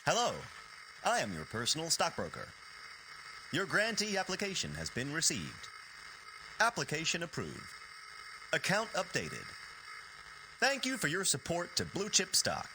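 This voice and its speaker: A woman's voice: low voice, huge pitch swings, unhurried, pauses often, background noise, exaggerated voice. A woman voicing an automated magical stockbroker in a video game, reciting chirpy, promotional customer-service patter. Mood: brisk, salesy. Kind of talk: scripted game voice acting.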